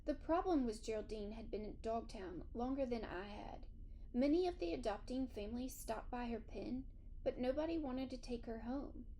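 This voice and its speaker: A woman reading a children's story aloud in an expressive first-person narration. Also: a little high, normal speed, slight background noise. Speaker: audiobook reader.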